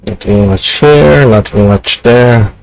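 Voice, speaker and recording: A man's voice: on the low side, slow pace, gravelly, darkish tone, no echo, poor audio. A man giving casual let's-play commentary while gaming, muttering short reactions as he goes. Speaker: streamer playing a video game.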